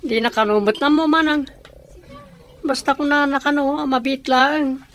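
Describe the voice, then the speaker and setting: A questioning Ilocano schoolchild in a radio comedy drama. The younger sibling sounds earnest, with a high voice. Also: female voice, steady tone, a little slow, fairly bright tone.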